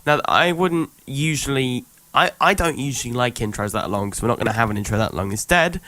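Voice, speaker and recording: A young man, his voice worn by illness, talks through his editing in a loose, rambling way at a desk mic. He thinks aloud and drops plenty of ums between steps. Faint music plays quietly underneath. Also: medium pitch, lively intonation, fairly quick, no room sound. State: sick, voice worn. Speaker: youtuber showing his editing. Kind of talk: casual screen-recorded tutorial.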